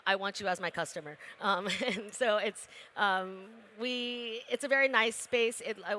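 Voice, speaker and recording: Bright young woman, quick and laughing. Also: medium pitch. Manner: quick, laughing, many fillers. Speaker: restaurant co-owner. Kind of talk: casual interview chat.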